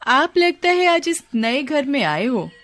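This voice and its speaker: A woman's voice: high-pitched, sing-song, slow pace, fairly bright tone, soft background music, pitched above the performer's own voice, exaggerated voice. A woman playing a cautious, secretive next-door neighbour in a cartoon story. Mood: friendly.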